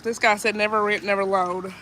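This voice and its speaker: Woman, stuffy nose, bright voice, reading comments on a live stream. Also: medium pitch, level tone, fairly quick, slight background noise, low-quality recording. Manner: chatty, reads comments aloud, laughs easily. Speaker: homesteading vlogger.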